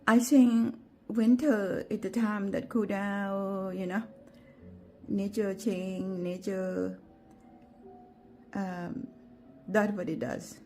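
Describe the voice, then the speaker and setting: Gentle Thai-accented woman with halting speech. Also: medium pitch, level tone, soft background music, low-quality recording. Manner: gentle, halting, with many fillers and restarts. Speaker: gardening vlogger. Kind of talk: unscripted walking tour vlog.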